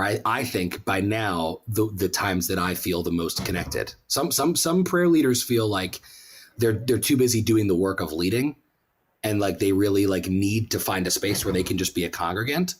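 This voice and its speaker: A low male voice in a conversational interview. He speaks as a rabbi and prayer leader, earnest and reflective, often pausing and circling back to rephrase. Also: breathy edge.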